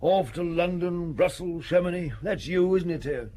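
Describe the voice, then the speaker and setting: A man's voice: high voice, normal speed, warm tone. An actor playing a chatty, respectable family man in a radio drama, affable but uneasy, genteel and a little hesitant. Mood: affable, teasing.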